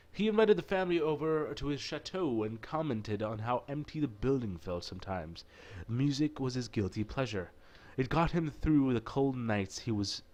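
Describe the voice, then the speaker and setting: A man hosting a gaming video, reading a visual novel aloud in a casual way and dropping in playful, irreverent asides. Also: medium pitch.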